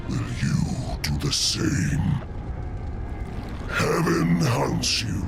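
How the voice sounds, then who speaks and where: Slow, gravelly demon lord. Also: male voice, medium pitch, heavily acted. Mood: bitter, brooding. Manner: theatrical, slow, oratorical. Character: grand, bitter male demon lord, tempting and commanding. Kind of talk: dramatic video game voice acting. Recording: music under the voice.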